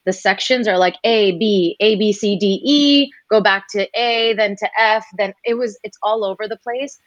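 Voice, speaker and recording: A woman in her twenties, a singer guest telling her story in a relaxed interview, animated and acting out other people's lines as she goes. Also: mid-range voice, normal speed, no room sound, highs cut off. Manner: animated, quoting others in lively voices.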